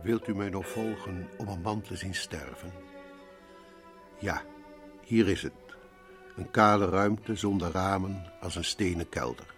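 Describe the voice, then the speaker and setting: A sombre male radio play narrator. His low voice addresses the listener directly with grim drama. Soft music sits behind him. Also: unhurried, long pauses. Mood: grim, hushed.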